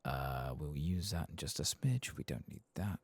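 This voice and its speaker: A man giving soft-spoken ASMR game commentary in a gentle, breathy murmur that often drops toward a whisper, close to the microphone. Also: very deep voice, expressive, moderate pace, no room sound. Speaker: game streamer.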